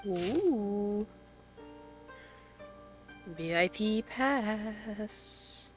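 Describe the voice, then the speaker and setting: A woman's voice: mid-range voice, extremely slow, faint music behind. A woman streaming a game, giving casual, playful, slangy commentary.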